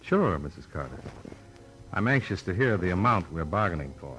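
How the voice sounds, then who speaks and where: Low-voiced noir detective, dry and blunt, old radio show with music behind. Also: male voice, big pitch swings, moderate pace. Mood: skeptical, dry. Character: hard-boiled, skeptical male insurance investigator, narrating his case. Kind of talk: 1950s radio noir acting and narration.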